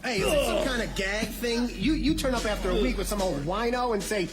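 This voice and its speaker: A man's voice: very high-pitched, brisk. An actor playing a brash, slangy, wisecracking young male superhero in a radio drama. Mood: excited. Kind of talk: 1990s radio drama acting. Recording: loud music under the dialogue.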